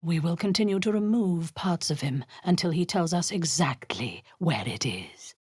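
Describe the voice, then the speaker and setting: A woman playing a ruthless, cruel and seductive female dark elf war commander in a video game, with a breathy, husky voice and a commanding, menacing, deliberate manner. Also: lowish voice, animated, dry recording, clean studio sound. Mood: cold command.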